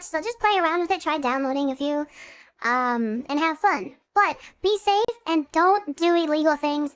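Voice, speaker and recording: Man as high girlish anime voice, dry. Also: a bit bright, no room sound. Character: girlish anime character from AI voice changer. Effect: AI voice changer. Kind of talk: casual software tutorial.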